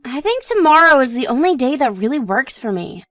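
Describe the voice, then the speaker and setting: A supportive young wife, played by a woman, talks in a high, dry-recorded voice with wide swings of pitch. She is modest and reassuring, chatting in a scripted domestic story drama. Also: very animated, a little fast, fairly bright tone, dry recording. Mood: chatty, even-tempered.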